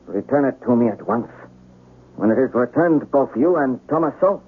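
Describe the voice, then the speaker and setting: An actor playing a proud, passionate young samurai in love, imperious and intense, in a radio drama. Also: male voice, mid-range voice, animated, moderate pace, breathy edge. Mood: angry, defiant. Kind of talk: old-time radio drama acting. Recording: tinny band-limited old radio recording.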